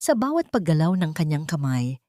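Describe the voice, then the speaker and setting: A woman's voice: sits low, big pitch swings, moderate pace, dark tone, no echo, clean studio sound. A woman reading a dramatic scripted story as narrator.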